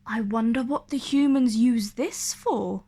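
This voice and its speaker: A curious young mermaid girl from a storybook, voiced by a woman. She sounds dreamy and earnest. Her voice is on the high side and unhurried. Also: mellow. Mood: dreamy wondering. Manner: wondering, earnest.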